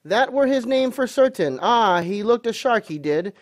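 Storybook tavern-keeper and old sea dog played by a male reader: hearty, shrewd, booming, raised pitch, salty talk. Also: sing-song, normal speed, darkish tone, no echo, clean studio sound. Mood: excited. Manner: booming, colloquial seafaring speech. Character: hearty loud one-legged sailor innkeeper, shrewd, blustering old buccaneer. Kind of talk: audiobook character acting.

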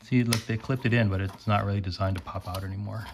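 A man's voice: lowish voice, fairly even intonation, fast talker. A man giving casual hands-on commentary, thinking aloud and explaining as he works on electronics. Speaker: host taking apart electronics. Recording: noisy hobby recording, clicks and handling.

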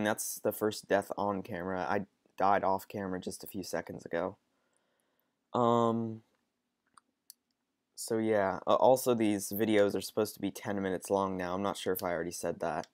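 A sniffly young man with a cold, rambling through casual game commentary with frequent ums and restarts. His voice sits a bit low and sounds close and dry. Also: fairly even intonation, moderate pace, pauses often, no echo. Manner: rambling, hesitant, lots of ums. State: stuffy with a cold. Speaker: gaming channel host. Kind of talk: casual let's-play commentary.

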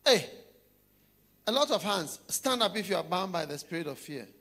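A middle-aged man preaches in a large hall through a handheld mic. His voice sits high and swoops around a lot, with a bright, slightly husky edge. He hammers points by repeating them. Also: expressive, normal speed. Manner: emphatic, repeats phrases, swings between hushed and loud. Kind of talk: sermon. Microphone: handheld microphone.

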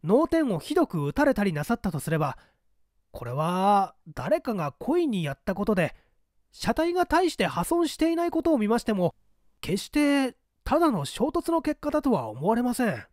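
腰が低く慌て気味のトラック運転手が丁寧に弁明する様子を演じる男性。. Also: male voice, high voice, sing-song, a little mellow, no echo, studio quality. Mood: apologetic, earnest. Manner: polite, apologetic, hurried explaining. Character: humble, flustered male truck driver giving testimony.

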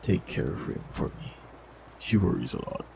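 A man voicing a warlock in an audio roleplay, talking calmly and reassuringly to the listener. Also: normal speed, background noise. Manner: calm, gentle, reassuring.

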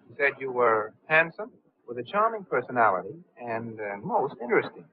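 An actor playing a flippant, breezy young society gambler in a 1930s film, with muffled, tinny old sound. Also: male voice, medium pitch, big pitch swings, fairly quick, a little hiss or hum. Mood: breezy, wry.

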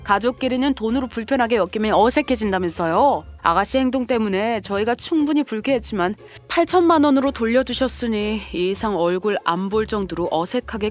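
A woman's voice: medium pitch, brisk, hardly any pauses, faint music behind, lightly acted. A woman playing a composed, sarcastic sister-in-law and protective mother in a scripted audio drama.

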